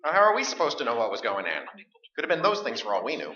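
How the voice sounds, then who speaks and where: Male actor, stubborn frightened middle-aged husband, radio drama, piercing bright tone, wide swinging pitch, agitated, echoey stage sound. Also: huge pitch swings, racing, a little hiss or hum. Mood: defensive. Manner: argumentative, agitated, stammering. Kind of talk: staged radio play acting. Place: live stage performance. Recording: dull, echoey live stage recording.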